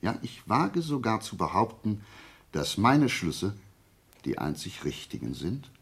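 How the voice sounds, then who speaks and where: An actor playing a self-assured amateur detective in a radio play, with a gravelly, husky voice, reasoning emphatically and precisely, pausing for effect. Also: male voice, on the low side, big pitch swings, fairly bright tone, heavily acted. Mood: self-assured, probing. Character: analytical male amateur detective, self-assured, methodically explaining his deductions. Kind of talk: German radio play dialogue, deliberate reasoning monologue.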